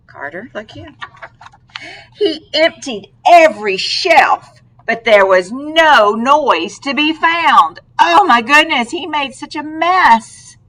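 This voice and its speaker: Very slow, animated woman reading aloud. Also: high-pitched, low-quality recording. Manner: expressive, prompting with questions. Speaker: read-aloud storyteller to a young child. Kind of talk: children's picture book read-aloud.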